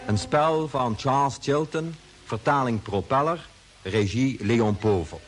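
A man's voice: medium pitch, unhurried, soft background music. A man announcing a radio play in a scripted style.

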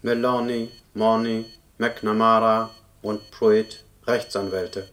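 A man playing an impersonal, machine-like debt-collection announcer, reading formal notices in a monotone for a German radio play. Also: fairly low pitch, slow, slight background noise. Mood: flat, officious. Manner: monotone, officious.

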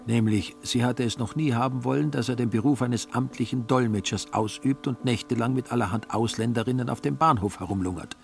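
A male narrator reads scripted prose for a radio play. His pitch is in the middle range. Faint music plays behind him. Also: fairly quick, vocal fry, hardly any pauses.

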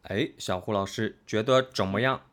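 A man's voice: fairly low pitch, normal speed, fairly bright tone. A man co-hosting a casual livestream chat, hesitating and often repeating words. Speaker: livestream co-host and audio drama cast member.

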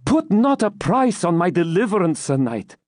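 A brave, devout young woman, as a man reads her lines, answering with earnest, solemn defiance. The voice sits a little higher than usual and moves in a lively way. It is recorded close and dry. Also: normal speed, no echo. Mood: defiant.

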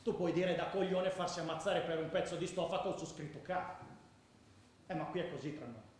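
An actor in a radio drama playing a fervent football ultra who tells stories in a colloquial, emphatic way. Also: male voice, a little high, a little fast, natural voice. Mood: earnest, proud. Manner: colloquial, emphatic, bursting into shouts and chants. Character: fervent male football ultra recounting matches and brawls. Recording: echoey room with faint noise.

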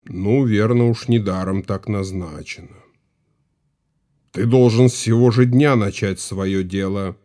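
An actor playing a grieving, stern old Cossack chief in an audio drama, speaking with solemn, mournful authority. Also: male voice, on the low side, a little slow, mellow, lightly acted. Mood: stern command. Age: older.

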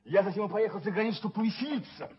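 An actor playing a brash, carefree young doctor in a radio play, with a blunt, impulsive manner. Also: male voice, high voice, expressive, very fast. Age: young adult. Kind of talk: theatrical radio drama acting. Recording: dull 1970s radio recording.

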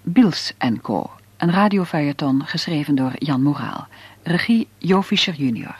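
A man making a formal, measured radio station announcement. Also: a little high, lively intonation.

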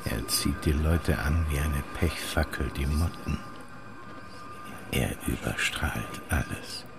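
A male narrator with an extremely deep, gravelly voice reads slowly and with an expressive rise and fall. Loud music plays under him. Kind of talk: literary radio narration.

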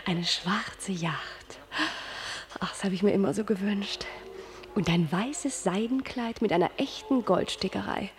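Actress as a dreamy, spoiled starlet. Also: female voice, mid-range voice, measured pace, lightly acted. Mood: dreamy, vain. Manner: affected, dreamy, gushing, breathy asides. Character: spoiled, dreamy, anxious woman star, former dishwasher girl. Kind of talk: German radio play acting.

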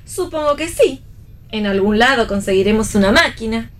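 Guarded, wry young Argentine wife, higher-pitched actress. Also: female voice, animated, moderate pace, lightly acted. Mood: curt, deflecting. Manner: curt, dry, evasive. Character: guarded, wry pregnant young wife receiving her mother. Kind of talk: radio theatre play reading.

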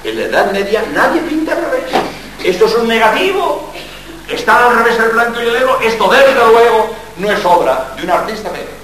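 An older man, a Jesuit priest from Spain, gives a lively public lecture full of jokes and rhetorical questions. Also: extremely high pitch, moderate pace, slight background noise. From Spain, born in Barcelona. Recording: very echoey hall, mic far off.

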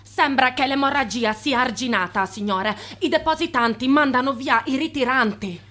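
An actress playing a polite, deferential office assistant in a radio drama, easily flustered. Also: female voice, high voice, moderate pace, pushed and bright. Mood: relieved.

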